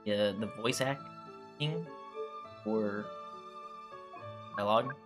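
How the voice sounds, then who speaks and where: A man's voice: fairly low pitch, very slow. A man giving casual, rambling playthrough commentary as a gaming streamer, in an offhand way. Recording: clear music behind the voice.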